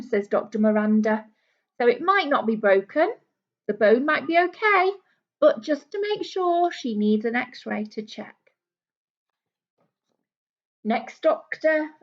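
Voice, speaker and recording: A woman, a primary teacher reading a storybook aloud to young children and explaining it simply with questions. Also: high voice. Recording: dull lo-fi small camera recording.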